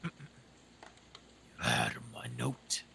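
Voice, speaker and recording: A man voicing a haughty, secretive male nobleman with a deep, harsh German accent, in an audiobook reading. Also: slight background noise. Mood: grave, guarded. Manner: low hushed delivery, halting.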